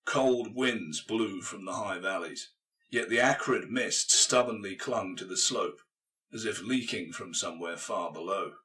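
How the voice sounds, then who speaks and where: A man reading dramatic scripted fiction as an audiobook narrator, with measured, grave, emphatic phrasing. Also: lowish voice, sharp tone, distant mic.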